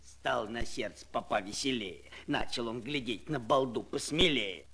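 A man narrating a verse fairy tale for a children's record, in an expressive reading. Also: medium pitch, measured pace, husky.

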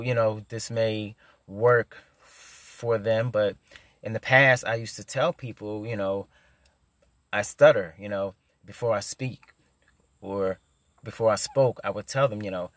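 A man's voice: on the low side, fairly bright tone, dry recording. A man in his thirties who stutters, giving casual advice into a phone camera from his parked car, with repeated words and many fillers. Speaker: vlogger. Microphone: phone camera at arm's length.

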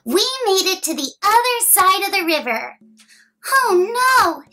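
A woman's voice: extremely high pitch, big pitch swings, unhurried, pushed and bright. A woman in her thirties reading a picture book aloud to young children, playful and exaggerated, asking the listeners questions.

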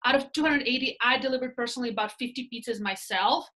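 A woman in her thirties with a Russian accent, chatting as a guest on a video call about her work, animated and often asking "right?". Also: a little high, quick pace. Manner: animated storyteller, gestures with her hands, tags lines with "right?". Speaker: real estate team leader, guest. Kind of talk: casual interview chat. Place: small indoor room. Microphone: laptop webcam video call.

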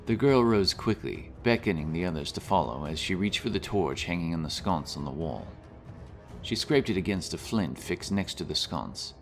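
A man with a very low voice narrates a fantasy tale in storybook fashion. Soft music plays faintly beneath his scripted reading. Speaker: audiobook narrator.

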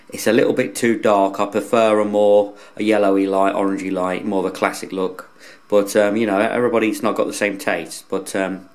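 A man casually reviewing gear unscripted, in a plain-spoken, rambling, hesitant way that often repeats words. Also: on the low side. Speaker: radio hobbyist reviewer.

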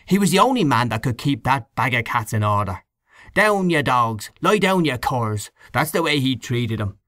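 A man voicing a loud, blustering canvasser who argues with forceful emphasis, in an audiobook reading. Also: mid-range voice, very animated, moderate pace, no room sound. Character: blustering middle-aged Irish political canvasser. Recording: dry close studio read.

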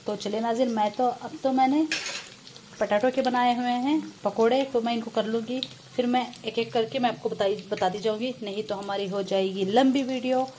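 A woman vlogging from her home kitchen, calmly talking viewers through a recipe step by step and often addressing them directly. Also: a little high, slight background noise. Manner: addresses viewers often as nazreen, unhurried and homely. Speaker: cooking vlogger. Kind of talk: casual step-by-step cooking narration. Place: home kitchen beside frying pan. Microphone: phone camera over the stove, hands only. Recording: home kitchen with loud frying sizzle. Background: oil sizzling in the pan.

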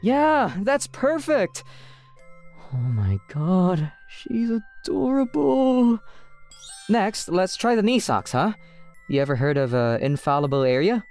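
A woman's voice: medium pitch, takes it slowly. A woman voicing an eager, easily flustered teenage otaku schoolboy in a manga romcom dub, sometimes hesitant and stammering. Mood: excited. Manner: excitable, often stammering and hesitant. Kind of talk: manga dub acting with first-person narration. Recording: music behind the voice.

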